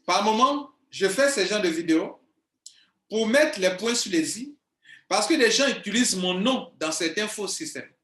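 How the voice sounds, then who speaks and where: Guy in his 40s, high voice, pushy and emphatic, echoey room. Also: lively intonation, moderate pace, a bit bright. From Ivory Coast. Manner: insistent, emphatic, repeats himself. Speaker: online business coach. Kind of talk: video monologue to viewers.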